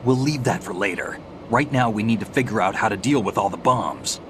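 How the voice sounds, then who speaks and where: Man playing cool military veteran, low voice, dry, laconic, self-assured, video game cutscene style, clear background noise. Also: brisk, natural voice. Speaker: navy lieutenant.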